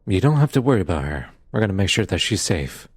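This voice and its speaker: A man playing a firm, matter-of-fact police detective in an audio roleplay drama, with a measured, official manner and a husky voice. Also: sits low, very animated, no echo, studio quality, exaggerated voice. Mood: firm, regretful.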